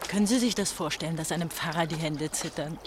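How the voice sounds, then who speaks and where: A woman's voice: low voice, fast, natural voice. A woman playing an anxious, trusting young pastor's daughter in a radio drama, speaking in a confiding, troubled way. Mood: anxious, rueful. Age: young adult. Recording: trickling water noise behind the voice. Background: trickling, dribbling water.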